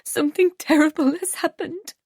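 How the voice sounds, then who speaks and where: A woman's voice: high voice, moderate pace, dry recording, studio quality, voice pushed up. A woman reading an audiobook, voicing a heartbroken young woman who turns tearful and bitter. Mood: distraught. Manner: tearful, pleading then cold and clipped.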